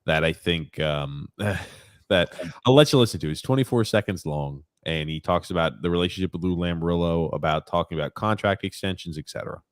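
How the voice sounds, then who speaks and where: A man's voice: deep voice, brisk, no echo, clean studio sound. A man co-hosting a sports podcast, talking in a conversational, occasionally profane way as he banters casually.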